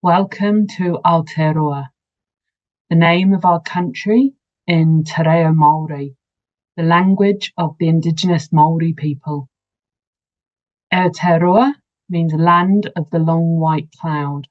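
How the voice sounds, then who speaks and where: A woman, a nurse giving a measured conference talk over a video call. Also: low-pitched, steady tone, muffled recording. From New Zealand. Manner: measured, formal greeting in Māori. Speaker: nurse practitioner candidate. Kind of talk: conference presentation.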